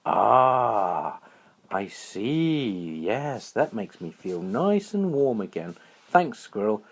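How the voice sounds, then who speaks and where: A man's voice: lowish voice, big pitch swings, drawn-out words, full character voice. A man voicing a friendly, brave and loyal young-hearted adventurer in a children's bedtime story, speaking earnestly in simple exclamations.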